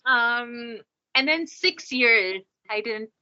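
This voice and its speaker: A woman from Canada, a singer and podcast guest, telling an animated anecdote and laughing often. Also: a little high, takes it slowly, dry recording, muffled recording. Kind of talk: casual anecdote in an interview.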